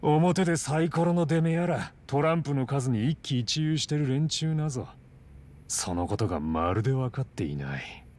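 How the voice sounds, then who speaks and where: A man acting a composed crime boss in a Japanese game dub, courteous and formal in measured, condescending phrases. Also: medium pitch, expressive, darkish tone, close to an everyday voice. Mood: reflective, disdainful.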